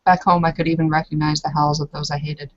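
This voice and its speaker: A woman playing a guarded, resigned young married woman in a roleplaying game, speaking in a formal, measured, stiffly controlled way. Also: low-pitched, flat delivery, muffled recording. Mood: resigned, frustrated.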